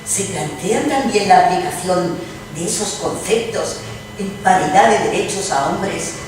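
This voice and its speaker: An actress plays a genteel, idealistic lady in radio theatre. Her intonation is lively, her manner formal and challenging. The sound is distant, noisy and heavily reverberant. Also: on the low side, unhurried. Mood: earnest challenge. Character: idealistic, spirited genteel lady novelist, questioning. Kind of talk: dramatized stage reading, radio theatre. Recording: echoey hall from far off, noisy.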